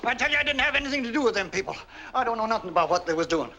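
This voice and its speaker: An actor playing a cagey old servant with an American accent and folksy, ungrammatical speech in a 1940s mystery film. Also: male voice, very high voice, animated, racing. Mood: defensive. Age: older.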